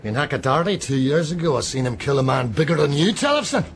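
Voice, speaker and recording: An actor playing a boisterous, menacing, hard-drinking sailor who spins yarns in rough, jeering speech for a radio drama. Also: male voice, a little high, lively intonation, quick pace, slight background noise. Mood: gloating, boisterous.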